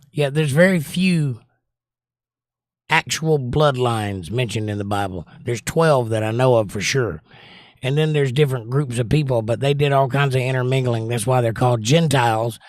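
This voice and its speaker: A slightly hoarse middle-aged man hosting a livestream, chatting casually and teasing his viewers as he reads their comments. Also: mid-range voice, moderate pace, no room sound, studio quality. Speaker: livestream host. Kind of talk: casual chat reading viewer comments aloud.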